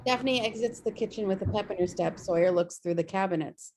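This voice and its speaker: A woman's voice: medium pitch, a little fast, darkish tone, slight background noise, poor audio. A woman reading scripted stage directions as narrator of a table read over a video call.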